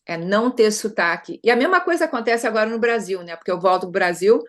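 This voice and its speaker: A woman's voice: mid-range voice, animated, fast talker. A middle-aged Brazilian woman consultant speaking conversationally in an interview, often adding tag questions and restarting her sentences. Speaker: consultant guest.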